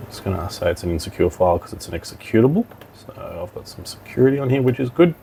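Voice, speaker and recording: A man with a fairly low voice that often drops into creak. He talks through a technical demonstration informally, step by step, thinking aloud as he goes. There is faint noise behind him. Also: big pitch swings, quick pace, dark tone. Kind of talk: software tutorial walkthrough, talking while clicking.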